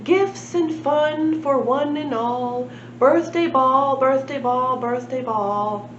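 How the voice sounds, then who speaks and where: A woman's voice: sits high, takes it slowly, roomy sound, dull audio, slight background noise, pitched above the performer's own voice. A woman reading a storybook, voicing festive serving girls as they sing in rehearsal. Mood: festive, singsong. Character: singing serving girls rehearsing a birthday song. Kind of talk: singsong storybook chant.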